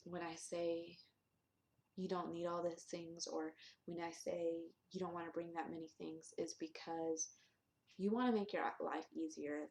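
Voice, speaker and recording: A young woman with a fairly low voice chats candidly to the camera, filling her sentences with ums and restarts. She records in an echoey room at home. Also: darkish tone. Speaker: vlogger. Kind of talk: casual vlog.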